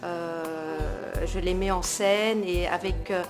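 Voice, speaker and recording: A woman's voice: fairly low pitch, fairly even intonation, takes it slowly. Hesitant middle-aged woman, music behind. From Russian and Iranian background. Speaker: exhibiting artist. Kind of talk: spontaneous interview to camera.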